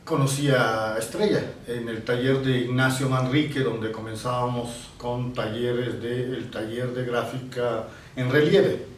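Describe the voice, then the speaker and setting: A man's voice: mid-range voice, steady tone. A man in his sixties from Italy talks through his memories in a reflective way, often stopping to search for the next word. He sits some distance from the mic in a room with noticeable reverb. A faint hum of ambience stays under his voice. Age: 60s. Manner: reflective, pausing to search for words. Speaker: painter being interviewed. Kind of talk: reminiscing in an interview.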